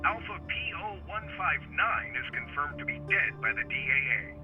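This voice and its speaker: A man's voice: fairly high pitch, big pitch swings, normal speed, muffled recording. An actor playing a formal male news announcer in a sci-fi game, reading bulletins through a radio filter. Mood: official. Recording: radio-like sound with music behind.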